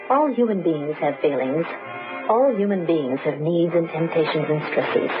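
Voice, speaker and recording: A man reading a quotation aloud in a formal, scripted radio style. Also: a little high. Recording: dull old radio, music behind.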